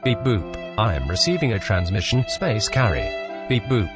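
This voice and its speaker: A man playing a deadpan, easily offended robot assistant in a comic audio drama, speaking in a stilted manner. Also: lowish voice, normal speed, over loud music. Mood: matter-of-fact. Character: deadpan, prissy old-model robot assistant.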